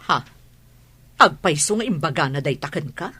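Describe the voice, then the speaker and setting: A woman's voice: deep voice, animated, moderate pace, bright, forceful tone, husky, heavily acted. A woman playing a remorseful middle-aged mother in an emotional radio drama, with a Filipino accent. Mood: anxious. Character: remorseful middle-aged mother begging her family's forgiveness. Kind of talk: Ilocano radio drama acting. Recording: music bed behind voice.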